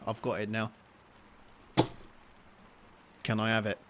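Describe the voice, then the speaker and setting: A man's voice: lowish voice, monotone, fast, heavy background noise, lightly acted. An actor playing a poor working father with a sick daughter, hesitant and tender. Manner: hesitant, tender with his child, then desperate.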